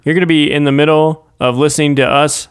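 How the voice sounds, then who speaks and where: Smooth-voiced laid-back podcast man. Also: a little high, steady tone, quick pace. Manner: laid-back, agreeable, frequent "yeah" and "like". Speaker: podcast co-host, musician. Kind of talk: casual chat.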